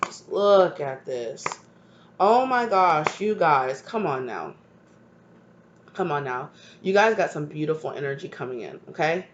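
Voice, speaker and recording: A woman's voice: low-pitched, big pitch swings, a little slow, muffled recording, slight background noise. A woman with a stuffed-up voice from a cold, giving a channeled tarot reading to her viewers with affectionate asides and repeated "right?" tags. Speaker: tarot reader.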